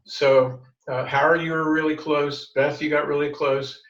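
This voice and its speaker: A man's voice: on the high side, level tone, moderate pace, a bit bright, recorded from a distance. A man gives rambling, encouraging feedback over a video call. He sits away from his mic in an echoey room, and his sound is dull and lo-fi. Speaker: meeting evaluator. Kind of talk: giving meeting feedback.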